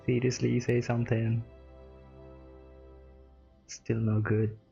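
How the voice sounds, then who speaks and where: Woman streamer, extremely low pitch with vocal fry, casual game reactions, music behind her. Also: level tone, slow, dark tone, lo-fi sound. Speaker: gaming streamer.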